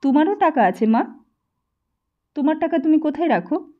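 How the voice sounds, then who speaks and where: A woman's voice: high voice, lively intonation, normal speed, phone-quality sound, voice pushed up. A woman voicing an innocent, curious young schoolboy in an audiobook, asking naive, insistent questions. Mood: wondering. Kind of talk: audiobook character voice.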